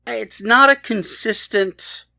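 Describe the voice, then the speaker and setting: A man co-hosting a podcast, chatting casually and critiquing in a halting, conversational way. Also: high-pitched, creaky, bright, forceful tone, no echo. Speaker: podcast co-host. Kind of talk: casual chat, TV episode discussion.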